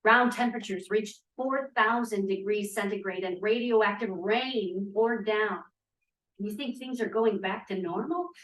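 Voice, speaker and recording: A woman's voice: medium pitch, a little slow, a bit bright, natural voice. Blunt, wry young 1940s college feminist woman actor, read-through recorded off-mic in a live room. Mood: sardonic. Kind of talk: script read-through acting. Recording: distant, echoey room microphone.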